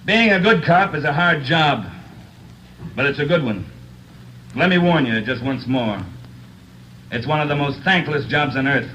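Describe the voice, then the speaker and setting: Bright, forceful veteran American cop. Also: male voice, a little high, expressive, a little slow, long pauses, rough recording. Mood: stern, earnest. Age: middle-aged. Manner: plain-spoken, emphatic, hard-bitten. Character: blunt, earnest veteran male police officer lecturing cadets. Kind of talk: speech in 1940s radio drama. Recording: hissy old 1940s radio recording.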